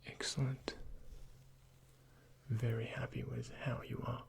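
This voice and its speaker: Soft, gravelly half-whispering ASMR man. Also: mid-range voice, little pitch movement. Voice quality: breathy, often near-whispered. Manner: gentle, unhurried, courteous clinical instructions. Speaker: ASMR performer playing a doctor. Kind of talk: soft-spoken ASMR medical roleplay, addressing the listener as a patient. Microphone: close microphone.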